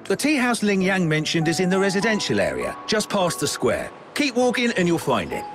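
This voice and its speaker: An actor playing a kindly, chatty snack shop chef in a video game, with a folksy, expressive way of talking. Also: male voice, high voice, fairly quick. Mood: fond. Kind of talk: animated game dialogue. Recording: game music behind the voice.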